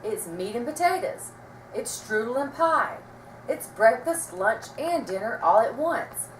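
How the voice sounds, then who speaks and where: Woman doing hungry storybook dad, big emphatic lines, very animated, bit far from the mic. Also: fairly high pitch, unhurried. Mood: exuberant delight. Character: hungry father, emphatic, exuberant about food. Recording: roomy, distant mic, faint noise.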